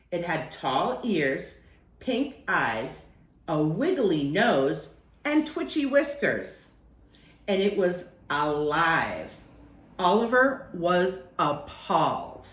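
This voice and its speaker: A woman's voice: lowish voice, big pitch swings, slow, reverberant. A woman reading a children's picture book aloud as a storyteller, acting out phrases and lingering on key words.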